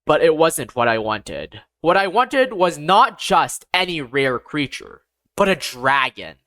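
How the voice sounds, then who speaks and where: A narrator of ambiguous-sounding gender reading a first-person fantasy story in a measured, deliberate, expressive way. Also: medium pitch, dry recording, studio quality. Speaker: audiobook narrator.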